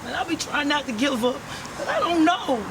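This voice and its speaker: A rough-spoken man speaking in street slang in a candid street interview outdoors. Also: very high voice, sing-song, a little fast. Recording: loud traffic noise on the street.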